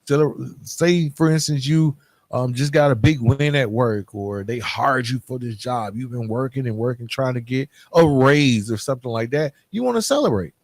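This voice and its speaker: A man's voice: medium pitch, normal speed, no echo. A man hosting a solo podcast, talking candidly and informally in a half-scripted, conversational way, checking in with "right?" as he makes his points. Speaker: podcast host.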